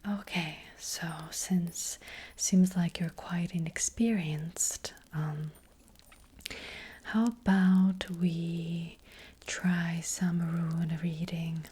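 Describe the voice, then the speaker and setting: Slow whispering witch played by a woman. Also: lowish voice, steady tone, exaggerated voice. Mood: gentle, mysterious. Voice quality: breathy whisper. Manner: slow, hushed, hesitant, unhurried. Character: gentle, hesitant witch fortune teller, female. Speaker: ASMR roleplay performer. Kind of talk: soft-spoken whispered ASMR roleplay. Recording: close-up with faint background noise.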